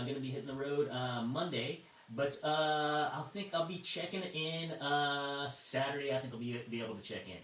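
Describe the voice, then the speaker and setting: American male net controller, casual and halting, heard distant and roomy over a radio link. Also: fairly high pitch, a bit bright. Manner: halting, repeats words while thinking. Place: over amateur radio repeater. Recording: echoey, distant radio transmission.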